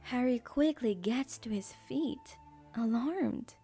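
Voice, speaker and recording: A woman narrator reads scripted story narration at a slow pace in a mellow, fairly high voice. Faint music plays underneath. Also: husky.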